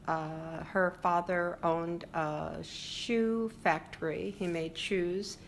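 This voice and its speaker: An older woman talks about her family's past in a slow, unhurried interview. Her voice sits fairly low and has a gravelly fry. She pauses often and fills the gaps with small hesitations. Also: fairly even intonation, a little hiss or hum. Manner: reflective, hesitant with frequent fillers. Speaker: interviewee sharing family history. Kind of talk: oral history interview.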